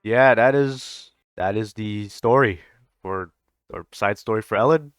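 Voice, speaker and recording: A man's voice: lowish voice, measured pace, no room sound, studio quality, soft background music. A man giving casual, rambling reaction commentary on a video game as a YouTuber, informal and sometimes swearing.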